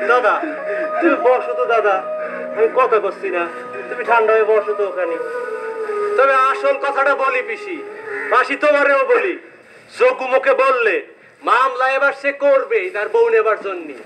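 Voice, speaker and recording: A man's voice: very high voice, normal speed, long pauses, music in the background, rough recording. A man playing an insistent village man in an acted story drama, speaking colloquially. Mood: insistent, warning. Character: Kailash, insistent village man warning two aunts. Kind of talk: acted short-story drama in rural Bengali.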